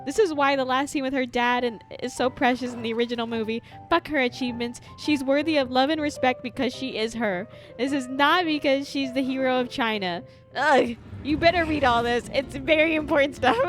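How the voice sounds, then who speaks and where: A woman's voice: sits high, lively intonation, normal speed. A chatty, opinionated woman doing casual, sweary commentary while live streaming a game. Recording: game music behind the voice.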